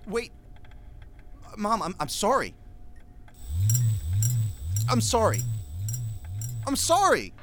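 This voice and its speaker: An anguished, wildly expressive grown son. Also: male voice, sits high, noisy background, heavily acted. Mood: hurt, desperate. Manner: emotional, halting, defensive. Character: grown son, lost, pleading, self-pitying, craving approval. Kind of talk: audio drama acting.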